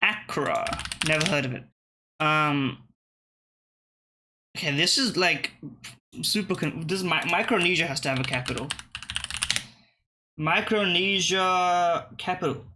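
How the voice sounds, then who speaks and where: A man's voice: on the high side, unhurried, long pauses, background noise. A young man casually thinking aloud and swearing while playing geography web games. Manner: thinking aloud, profane, muttering and restarting. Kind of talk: casual gameplay commentary.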